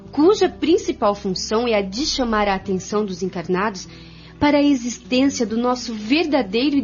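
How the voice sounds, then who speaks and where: A woman's voice: fairly high pitch, a little mellow, close to an everyday voice. A woman with a Brazilian accent playing an earnest spiritist who explains formally and carefully in a radio drama. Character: earnest, explanatory female spiritist group member. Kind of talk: amateur radio drama acting. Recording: dull lo-fi, music behind.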